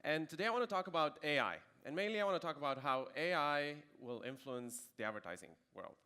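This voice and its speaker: A man's voice: on the high side, lively intonation, quick pace, sharp tone, clear, resonant tone. A man in his thirties with a Romanian accent, giving a conversational conference talk with dry asides. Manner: conversational with dry jokes and fillers. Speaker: conference speaker. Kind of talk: keynote presentation.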